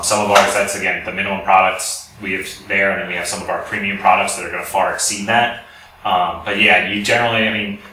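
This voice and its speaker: A man's voice: low voice, bright, forceful tone. A man giving an informal technical lecture, explaining things and often correcting himself as he goes. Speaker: company executive giving a product lecture. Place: indoor lecture room with audience. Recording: very echoey room, distant mic, faint noise.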